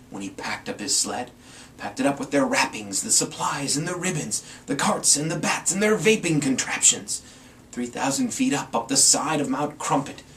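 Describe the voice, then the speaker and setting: A man reads a rhyming story aloud with theatrical, playful emphasis. His pitch is highly animated, rising and dropping for drama. The mic is some distance away and picks up faint background noise. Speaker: amateur storyteller. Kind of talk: dramatic storybook reading in rhyme. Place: indoors, in front of a green screen.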